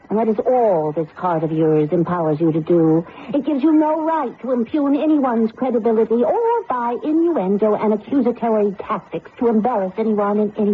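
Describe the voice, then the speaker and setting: Through a narrow, noisy old radio sound comes a woman acting as a proud artificial intelligence with a high female voice. She speaks in formal, haughty phrases, possessive and quick to take offence. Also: lightly acted. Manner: haughty, indignant, formally articulate.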